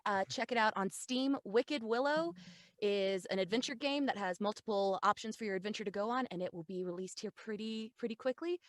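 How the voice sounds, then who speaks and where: A woman in her thirties with a fairly high voice talks casually among friends. She is close to a studio mic, and the sound is very dry. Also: a bit bright, dry recording. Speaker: voice actor and podcast cast member. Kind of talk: casual chat. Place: small dark home studio. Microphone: close studio mic on a video call.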